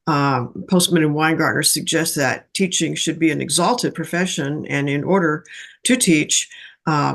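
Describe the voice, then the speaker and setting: Higher-pitched man moderating a class. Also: a bit bright. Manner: conversational and enthusiastic, with fillers. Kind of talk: hosting a class Q&A on a video call.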